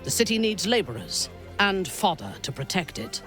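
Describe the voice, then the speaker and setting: A man's voice: high-pitched, very animated, normal speed, music in the background. A man playing a stern, outspoken outsider in a video game, speaking in a formal, blunt, argumentative way. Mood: indignant, arguing. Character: stern outspoken outsider arguing for hard pragmatism.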